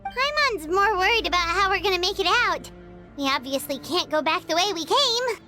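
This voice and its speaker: A woman voicing a small, excitable, easily scared childlike female fairy companion in a video game, whining and exclaiming. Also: extremely high pitch, moderate pace, soft background music, heavily acted. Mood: uneasy. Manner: whiny, exclaiming, refers to herself in third person.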